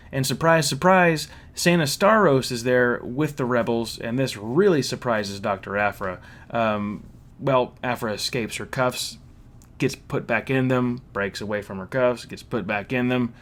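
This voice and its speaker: Man giving a casual, animated recap. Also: mid-range voice. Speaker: reviewer hosting a fan recap. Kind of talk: casual spoken plot recap and commentary.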